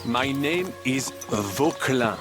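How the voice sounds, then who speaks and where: An actor playing an older, boastful French knight in a video game, with a French accent and a theatrical, pompous manner that peppers speech with French. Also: male voice, mid-range voice, lively intonation, takes it slowly, music in the background. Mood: grand, exuberant. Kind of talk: video game dramatic acting.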